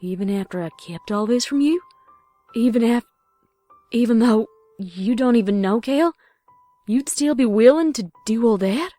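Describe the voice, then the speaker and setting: A woman's voice: a little high, animated, moderate pace, pauses often, mellow, dry recording, faint music behind, lightly acted. A woman with an American Southern accent voicing a proud, protective young farm mare in a cartoon pony audio drama. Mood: hesitant.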